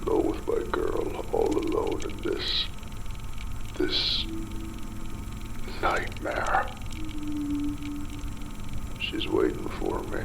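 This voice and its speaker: Casting: broken, exhausted dad on the edge of madness, horror audio drama. Extremely low, heavily creaking half-whisper, halting delivery. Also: male voice, noisy background, exaggerated voice. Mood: desperate, resolute. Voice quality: gravelly, breathy half-whisper. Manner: halting, broken phrases with heavy pauses. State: exhausted, parched. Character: haunted, splicing-mad father fleeing a ruined undersea city.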